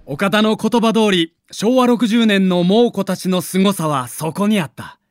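A man's voice: high-pitched, measured pace, dry recording, clean studio sound. A man with a Kansai accent narrating an audio sports documentary from a script in a theatrical storytelling manner. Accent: Kansai Japanese.

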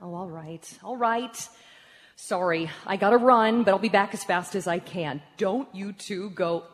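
A woman playing an excitable, nosy small-town friend in live radio theatre, exclaiming in drawn-out words. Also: medium pitch, lightly acted. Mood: exasperated. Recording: reverberant hall, live stage.

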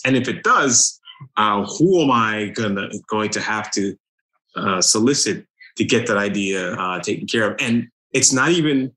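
A man's voice: mid-range voice, sharp tone, poor audio. A man who is a guest on a faith talk show, telling a personal story in a loose, hesitant way. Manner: hesitant, many fillers and restarts while telling a story. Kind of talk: casual conversation.

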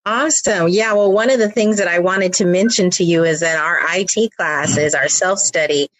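A woman's voice: on the low side, moderate pace, no room sound, rough recording. A woman hosting an interview, asking questions and responding often with short affirmations. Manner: engaged, frequent short affirmations. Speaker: interview host. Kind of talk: conversational interview.

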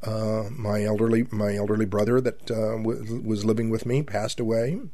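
A man in his seventies hosting a conservative radio talk show, folksy and halting, full of fillers and repeated words and often calling his listeners "my friends". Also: lowish voice, level tone, warm tone, no room sound. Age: 70s.

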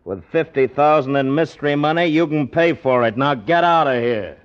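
A man's voice: on the high side, normal speed, noisy background, lightly acted. A man playing a shrewd, dogged police investigator, probing and dryly sarcastic, in 1940s radio drama style. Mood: accusing. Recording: muffled 1940s radio recording.

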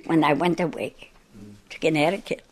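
A woman over a hundred years old, a native French speaker with an Acadian French accent, reminiscing in an unhurried interview and laughing between thoughts. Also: deep voice, steady tone, a little hiss or hum. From northern Maine. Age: over 100.

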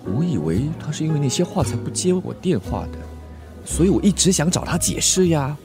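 Earnest young executive, lively pitch. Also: male voice, fairly quick, over music. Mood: anxious, pleading. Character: earnest young company executive pleading, apologetic, evasive. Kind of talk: radio drama acting.

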